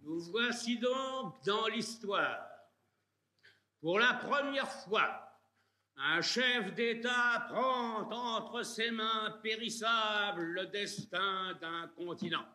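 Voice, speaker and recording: High, very slow solemn narrator. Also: male voice, bright, forceful tone, echoey room. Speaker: documentary narrator.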